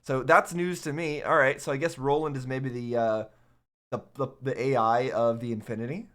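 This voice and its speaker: A man giving casual reaction commentary in a halting, musing way. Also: medium pitch, steady tone, fairly bright tone. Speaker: reaction video host.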